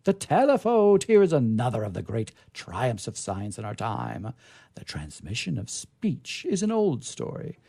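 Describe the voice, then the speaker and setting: A man giving a dramatic reading of vintage science fiction, theatrical and emphatic, with drawn-out phrases and pauses. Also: medium pitch, huge pitch swings, creaky, mellow, slightly rough. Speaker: reader performing a literary reading.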